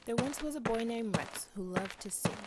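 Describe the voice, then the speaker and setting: A woman's voice: fairly high pitch, animated, moderate pace, heavy background noise. A woman narrating a scripted children's bedtime story.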